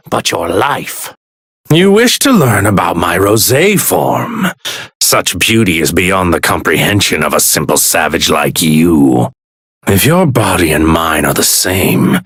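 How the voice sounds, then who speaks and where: Haughty villainous god, swooping delivery. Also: male voice, low-pitched, fairly bright tone, slightly rough, no echo, studio quality, heavily acted. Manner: arrogant, disdainful, grandly formal. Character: haughty villainous god in a stolen warrior body. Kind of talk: theatrical anime fighting game voice lines.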